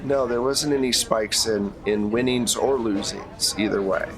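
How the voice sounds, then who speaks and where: A man's voice: fairly low pitch, a little slow, crowd noise, poor audio. A man speaking as game master and host of a tabletop game, narrating and explaining off the cuff in a casual way.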